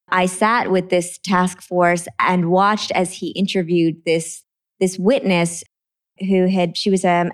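A woman from Trinidad, an author talking about her books in a relaxed interview, earnest and quick to laugh. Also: lowish voice. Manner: earnest, laughs easily, repeats words when starting. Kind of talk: conversational interview.

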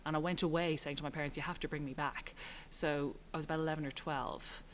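A middle-aged Irish woman, a writer and director, answering interview questions in a thoughtful, halting way with frequent ums. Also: low-pitched, steady tone, slight background noise. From Ireland. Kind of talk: relaxed reflective interview answers.

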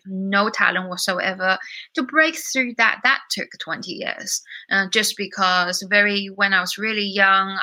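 Bright-voiced woman, Chinese-British accent. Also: medium pitch, low-quality recording. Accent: Chinese with some British. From China. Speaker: singer-songwriter, interview guest. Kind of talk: candid personal storytelling in an interview.

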